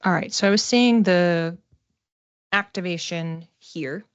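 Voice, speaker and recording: A woman giving a casual live psychic reading, speaking conversationally and tentatively, thinking aloud with pauses as she explains. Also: on the low side, smooth, no echo, lo-fi sound.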